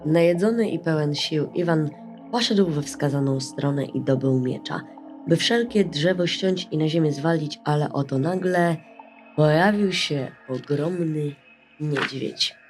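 A woman storyteller narrating a bedtime fairy tale in an expressive, scripted style. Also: deep voice, measured pace. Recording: music under the voice.

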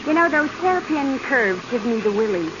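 A woman's voice: on the high side, expressive, normal speed, close to an everyday voice. An actress playing a plain-spoken, independent woman adventurer in a 1940s radio drama, frank, breezy and firm. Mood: breezy, teasing. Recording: noisy, muffled 1940s radio recording.

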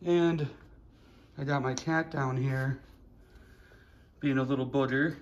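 A man, casually showing off his living room decor on a phone camera in a plainspoken, relaxed way. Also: mid-range voice, slow, highs cut off, a little hiss or hum. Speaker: fishing channel host. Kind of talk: casual show-and-tell vlog. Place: living room at home, indoors. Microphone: handheld phone camera.

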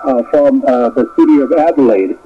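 A man with a Japanese accent talks as an amateur radio operator, courteous and halting. His mid-pitched voice comes through a dull shortwave signal with faint noise behind it. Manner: halting, polite, formal courtesies. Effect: heard over shortwave radio. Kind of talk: radio contact chat, phonetic spelling.

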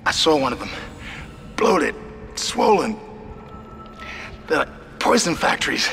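A man's voice: a little high, big pitch swings, slow, gravelly, pushed and bright, soft background music, heavily acted. A man playing a weakened, grave survivor in a video game, speaking tersely as he struggles to breathe. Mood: strained, grave. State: struggling to breathe in foul air. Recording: long reverb, faint background noise.

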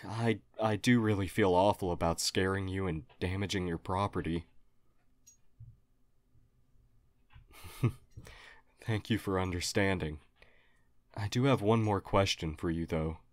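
Man voicing a courteous alien historian, earnest, slightly hesitant, formal wording, deepish voice, dry recording, audio roleplay. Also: moderate pace, dry recording, natural voice. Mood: apologetic, flustered. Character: friendly, polite, apologetic male alien historian visitor. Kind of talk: audio roleplay acting, addressed to listener.

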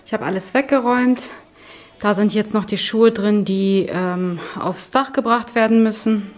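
A woman's voice: mid-range voice, fairly even intonation, normal speed. A woman in her thirties walking through her home on camera, chatting casually about what she has tidied. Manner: chatty, explaining as she walks around. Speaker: home vlogger. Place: bright modern home, indoors.

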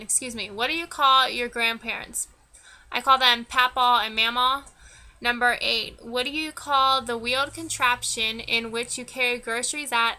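Bright, clear young American woman. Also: on the high side. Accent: Northern American. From Pennsylvania, United States. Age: 20s. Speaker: vlogger. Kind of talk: casual chat to camera, answering tag questions. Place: small wood-panelled room indoors.

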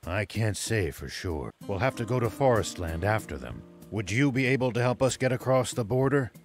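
An actor playing a grave, level-headed old soldier in a video game, speaking in a measured, serious way. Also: male voice, on the low side, expressive, soft background music. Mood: resolute.

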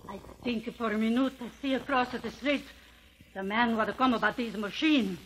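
Actress as anxious Italian immigrant mama. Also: female voice, on the high side, steady tone, moderate pace, lightly acted, low-quality recording. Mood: worried. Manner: hesitant broken English. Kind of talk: old-time radio drama acting.

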